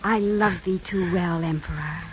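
An actress playing a soothing, manipulative lover of a Roman emperor, softly coaxing in old radio drama style. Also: female voice, fairly low pitch, unhurried, over loud music, close to an everyday voice. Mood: coaxing, seductive. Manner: soft, coaxing. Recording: dull, noisy old radio recording.

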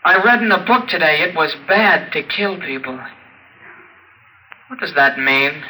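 A naive lonely robot boy, harsh sharp drone, kinda high, old radio play. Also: animated, a little slow, slight background noise, full character voice, low-quality recording. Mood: lonely, wistful. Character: childlike male robot, lonely, naive, coldly menacing. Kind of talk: old-time radio drama acting. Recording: old radio drama recording.

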